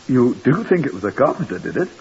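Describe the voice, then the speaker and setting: A man voicing a fussy, flustered hotel manager with a husky voice, in old-time radio drama. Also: medium pitch, very animated, quick pace, slight background noise, pitched above the performer's own voice, close to an everyday voice. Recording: muffled old radio recording.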